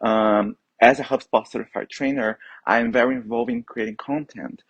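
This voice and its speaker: Husky Brazilian man, eager guest. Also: medium pitch, very animated, normal speed, dry recording, dull audio. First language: Portuguese. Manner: eager, restarts often. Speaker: guest, HubSpot trainer. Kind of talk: casual interview chat.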